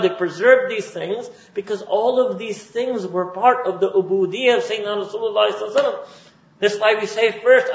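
High-voiced man preaching in a roomy hall. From Pakistan. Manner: emphatic, repetitive builds. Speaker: Islamic preacher. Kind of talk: religious lecture. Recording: roomy, dull lo-fi recording.

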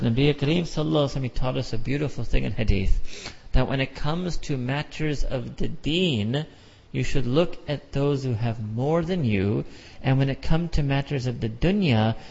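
A man's voice: mid-range voice, moderate pace, creaky, a little mellow. A middle-aged American man giving an emphatic sermon with repeated phrases and rhetorical questions. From United States. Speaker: imam. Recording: dull lo-fi sound with long hall reverb.

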